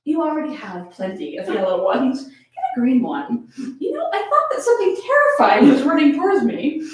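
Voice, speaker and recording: American woman, co-hosting a comic fan reading, very lively pitch, distant and heavily echoing sound. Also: moderate pace. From United States. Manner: giggly, playful, foul-mouthed. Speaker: co-host of a fan fiction reading. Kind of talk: casual chat and dramatic comic reading. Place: echoey room. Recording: echoey room, distant from the mic.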